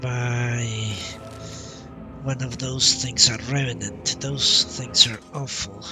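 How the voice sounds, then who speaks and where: A man's voice: medium pitch, fairly even intonation, takes it slowly. A man streaming a video game, casually thinking aloud as he comments on his play. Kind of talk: live gameplay commentary, casual. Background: prominent game music.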